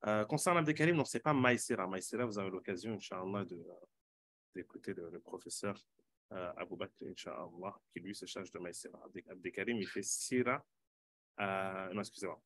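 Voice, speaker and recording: Low-pitched male host running an online panel, loose and self-correcting. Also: a little fast, a bit bright.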